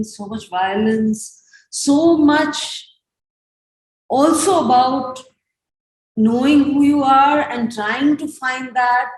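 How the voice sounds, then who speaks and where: Slow-talking Indian woman in an echoey room. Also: fairly high pitch. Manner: halting, reflective. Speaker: host of post-performance conversation. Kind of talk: unscripted appreciative talk.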